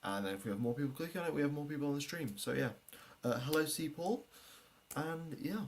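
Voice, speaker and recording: A man's voice: fairly high pitch, lively intonation, fairly quick, a little hiss or hum. A man hosting a livestream, chatting casually with viewers and previewing a race in a rambling way, repeating words and often trailing off. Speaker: livestream host.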